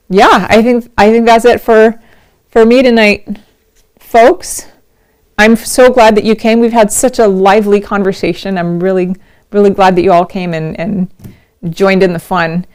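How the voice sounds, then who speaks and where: A middle-aged woman talks casually on a livestream from home, rambling and laughing often. Her voice sits at an ordinary pitch and stays smooth and clear, though it has gone a little hoarse. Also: a little mellow. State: slightly hoarse. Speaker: host of a knitting livestream.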